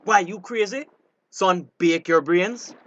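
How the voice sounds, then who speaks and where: A man's voice: high voice, takes it slowly, a bit bright, highs cut off. An actor playing an anxious, honest fisherman in a drama, pleading urgently in a Belizean Creole accent. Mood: exasperated. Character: anxious, honest male fisherman, wary of crime. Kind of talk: naturalistic dialect radio drama acting.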